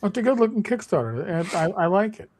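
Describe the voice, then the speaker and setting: A man with a notably high speaking voice, talking loosely on a podcast. He rambles and restarts his sentences, laughing easily as he tells stories from running games. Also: sing-song, fairly quick, darkish tone. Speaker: game master and channel host. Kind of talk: casual podcast chat.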